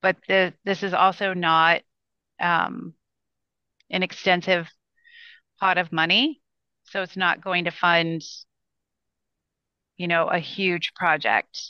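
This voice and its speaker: A woman in her sixties, a state education official, answering questions on a video call with measured, reassuring replies. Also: fairly low pitch, level tone, dry recording. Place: small room indoors. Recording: dull, lo-fi video call audio.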